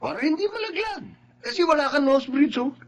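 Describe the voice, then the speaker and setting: Bal, a man playing a needling coworker, very high. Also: expressive, a little fast, a crowd in the background. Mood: teasing. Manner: needling, mocking. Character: teasing male coworker.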